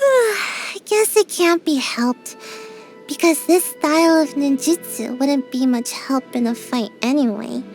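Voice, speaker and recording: A woman's voice: sits high, slow pace, a little mellow, soft background music. A sleepy little ninja girl. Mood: drowsy. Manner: drowsy, sighing, mumbling. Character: sleepy small girl ninja, lazy and evasive. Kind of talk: video game character voice lines.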